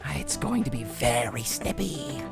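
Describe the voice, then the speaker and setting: A man reading aloud the lines of a young woman's calm, offhand sister, in a low, dry way. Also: measured pace, vocal fry, loud music behind the voice. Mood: deadpan.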